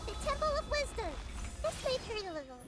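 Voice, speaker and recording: Little girl game heroine, very high-pitched, animated, plucky battle calls, loud music. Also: brisk. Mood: determined. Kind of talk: video game battle barks.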